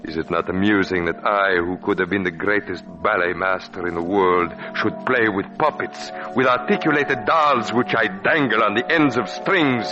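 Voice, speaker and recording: An actor playing a bitter, menacing, deformed puppeteer with theatrical, mocking speech in an old radio horror drama. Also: male voice, fairly low pitch, moderate pace, hardly any pauses, natural voice. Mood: bitter, brooding. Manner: theatrical, ranting, with mocking rhetorical questions. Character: bitter, menacing, twisted puppeteer with withered legs and crushing arms. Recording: muffled vintage radio recording with music.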